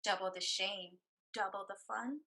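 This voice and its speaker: A woman's voice: mid-range voice, normal speed, bright, forceful tone. A woman acting in a stage play reading as a devoted, cautious sister who blames herself. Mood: teasing, affectionate. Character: anxious, devoted, limping sister, cautious and self-blaming.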